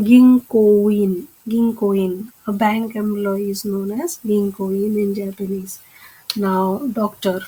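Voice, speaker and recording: A woman teaching a vocabulary lesson, speaking slowly and deliberately and repeating each word. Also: mid-range voice, a little mellow, slightly rough, low-quality recording. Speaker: language teacher.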